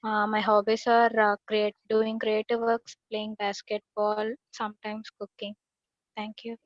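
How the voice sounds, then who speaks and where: A woman's voice: medium pitch, completely flat delivery, a little slow, no room sound, dull audio. A woman introducing herself as a student, with small restarts.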